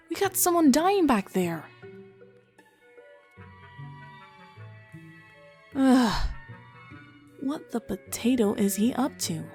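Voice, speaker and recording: A woman in a fan game dub voicing a brisk, short-tempered female scientist who sighs and mutters in exasperation. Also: medium pitch, a little mellow. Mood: exasperated.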